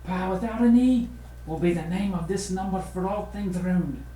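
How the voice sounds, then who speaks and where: A man's voice: high voice, moderate pace, warm tone, heavy reverb, slight background noise, pitched above the performer's own voice, natural voice, poor audio. A man voicing a hearty, grateful noble father in a children's storybook, in a declamatory manner. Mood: proclaiming.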